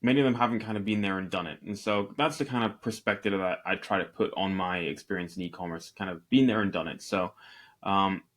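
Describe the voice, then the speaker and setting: A man from Northeast Scotland, speaking with a Scottish accent in a fairly low register. He answers interview questions as a guest, telling his story candidly with a self-deprecating humour. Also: brisk, a bit bright. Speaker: podcast guest, e-commerce entrepreneur.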